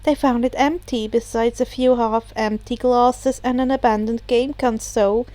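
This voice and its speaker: High-voiced woman narrating a story. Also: fairly even intonation, moderate pace, warm tone, no room sound. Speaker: audiobook narrator. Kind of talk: scripted fan fiction narration.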